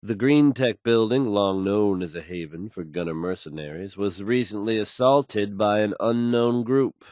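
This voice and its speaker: A man's voice: fairly low pitch, moderate pace, few pauses, darkish tone, no room sound, clean studio sound, natural voice. An actor playing a confident, folksy male radio DJ in a video game, delivering news in suave showman's patter. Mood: confident, slick. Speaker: radio DJ character.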